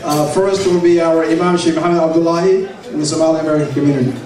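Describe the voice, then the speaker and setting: Guy emceeing a dinner, kinda high voice, lots of uhs, super echoey far-off sound with crowd noise. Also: fairly even intonation, racing. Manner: informal, hesitant with fillers. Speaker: master of ceremonies. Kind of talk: event announcements. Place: large banquet hall indoors. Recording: very echoey hall, distant mic, crowd chatter.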